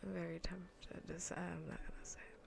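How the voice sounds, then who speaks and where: A woman streamer chatting playfully and casually in a soft, breathy, often near-whispered ASMR style, close to the microphone. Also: fairly low pitch, level tone, a little fast. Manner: playful, rambling, half-whispered casual talk. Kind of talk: soft-spoken ASMR gaming livestream chat.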